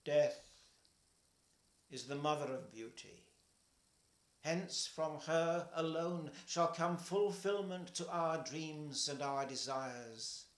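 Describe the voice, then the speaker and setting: An older man reading a poem aloud at home, slow and measured, his voice falling soft at the ends of lines. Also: on the high side, reverberant. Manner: slow, measured, trailing softly at line ends. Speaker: poetry reader. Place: home kitchen, indoors.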